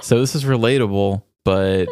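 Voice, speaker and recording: A man with a low voice chats as a podcast co-host. He is laid-back and wry, and the sound is dry, without any room echo. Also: normal speed, a little mellow, clear, resonant tone. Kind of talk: casual podcast chat.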